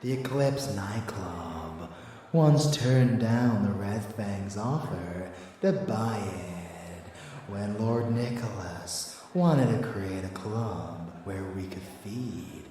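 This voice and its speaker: A man's voice: fairly low pitch, slow pace, dark tone, very echoey, long reverb tail, far from the mic. A man playing a seductive, predatory vampire lover in an ASMR audio drama, murmuring intimately and sometimes sinking to a whisper. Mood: seductive, scheming.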